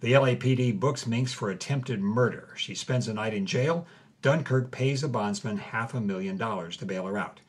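A man's voice: medium pitch, moderate pace, husky. A man co-hosting and narrating a story, reading old headlines aloud with wry relish. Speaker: co-host narrator. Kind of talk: scripted narration with chatty asides.

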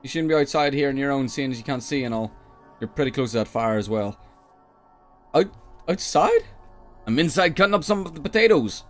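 A man playing an excitable, complaining, bumbling dad stranded on an island in an improvised game roleplay, dramatic in manner. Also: medium pitch, fairly quick, long pauses, faint music behind, close to an everyday voice. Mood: coaxing.

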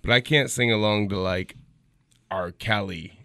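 Male podcast co-host, 30s, low voice, laid-back, hums beats, close dry studio mic. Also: unhurried, gravelly, bright, forceful tone, clear, resonant tone, no echo. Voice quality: low-pitched voice. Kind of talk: casual chat. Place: small radio studio. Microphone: studio mic on arm, headphones.

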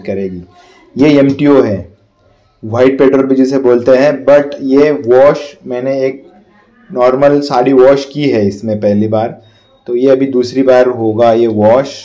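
A man's voice: mid-range voice, warm tone, echoey room. A man in his thirties narrating a casual step-by-step laundry how-to, talking to viewers as friends in an indoor workshop. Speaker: laundry business owner.

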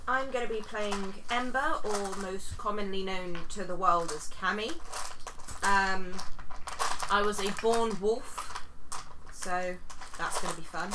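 A woman's voice: medium pitch, slow. A woman playing a tabletop game, casually introducing her character from a little way off the mic. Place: living room with a group. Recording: noisy room with clicks.

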